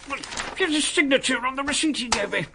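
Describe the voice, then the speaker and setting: A man's voice: extremely high pitch, fast, background noise. An actor playing a pompous, indignant male passenger in a radio drama, speaking in a haughty, theatrical way.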